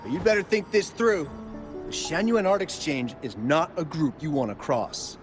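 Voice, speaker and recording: A man's voice: on the high side, big pitch swings, moderate pace, music in the background. A man playing a crass, blustering crook in a video game, brash and scornful in everyday colloquial speech. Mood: irritated, threatening. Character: crass, blustering male crook running an art racket.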